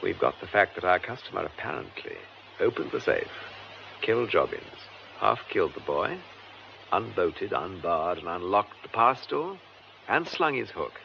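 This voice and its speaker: Low, lively British police detective. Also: male voice, normal speed, vocal fry, dull audio, slight background noise, full character voice. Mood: brisk, reasoning. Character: composed, shrewd gentleman police detective superintendent. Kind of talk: radio drama acting.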